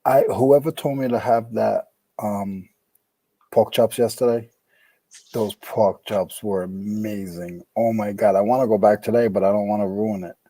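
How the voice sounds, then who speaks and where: Mellow-voiced chatty man. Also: on the low side, fairly even intonation, moderate pace, no room sound, rough recording. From New England. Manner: chatty, jokey, trails off mid-sentence. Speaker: podcast co-host. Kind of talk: casual chat while listing items.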